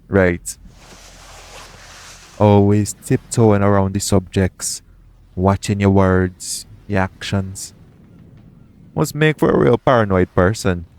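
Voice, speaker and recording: A man playing a shady, smooth-talking pirate captain in an audio roleplay, coaxing and self-assured in a conversational way. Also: low-pitched, darkish tone. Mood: scheming, confident. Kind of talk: second-person audio roleplay acting.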